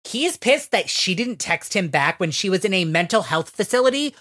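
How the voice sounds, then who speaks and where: A woman's voice: medium pitch, animated, moderate pace, a bit bright. A woman in her thirties co-hosting a podcast, ranting about reality TV with lots of swearing and acted-out quotes. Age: 30s. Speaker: podcast co-host. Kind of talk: casual reality-TV recap chat.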